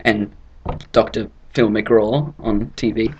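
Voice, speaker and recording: A man's voice: fairly low pitch, little pitch movement, slow, darkish tone, highs cut off, a little hiss or hum. A young man casually telling a comic story in a rambling, sarcastic way.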